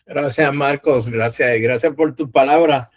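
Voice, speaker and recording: A man's voice: mid-range voice, unhurried. A man from Puerto Rico with a Puerto Rican accent, a guest teacher opening a class on a video call, joking about himself. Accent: Puerto Rican Spanish.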